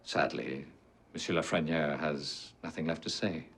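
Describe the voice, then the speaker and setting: An actor playing a calm, calculating villainous grandmaster in a video game, measured, lofty and menacing. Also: male voice, rumbling low, slight background noise. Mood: cold, patient.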